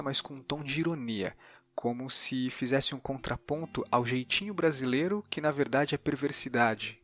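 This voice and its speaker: A man with a Brazilian accent, a podcast host speaking in a measured, articulate explanatory way. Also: medium pitch, a bit bright. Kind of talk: explanatory podcast narration and interview.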